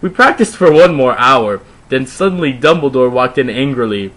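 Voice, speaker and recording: A man's voice: mid-range voice, lively intonation, moderate pace, a bit bright, rough recording. A man doing a wry, theatrical dramatic reading of a comically bad story, with mocking asides as narrator. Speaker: narrator reading fan fiction aloud.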